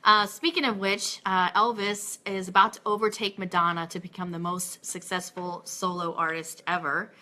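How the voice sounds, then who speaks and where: A woman hosting a casual live radio show with gushing, excitable, chatty delivery, moving between chat and news items. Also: lowish voice, moderate pace, few pauses.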